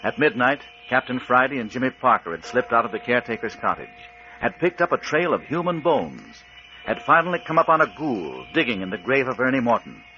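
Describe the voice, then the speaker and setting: Dramatic, animated radio announcer man. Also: mid-range voice, a little fast, a little hiss or hum. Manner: dramatic, portentous. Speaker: announcer and narrator. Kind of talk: 1940s radio announcing, scripted narration. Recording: muffled vintage radio recording.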